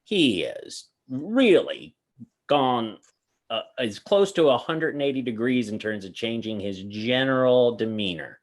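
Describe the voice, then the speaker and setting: A man's voice: mid-range voice, animated, unhurried. A man co-hosting a magic review show, chatting casually and rambling through anecdotes with many restarts. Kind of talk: casual panel chat.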